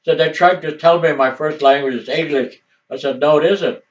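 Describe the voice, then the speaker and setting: A man's voice: on the high side, a little fast. Older man, Assiniboine first language, rambling grandfather storyteller, chuckles, distant dull home recording. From Montana, US. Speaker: grandfather telling family history. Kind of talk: casual storytelling.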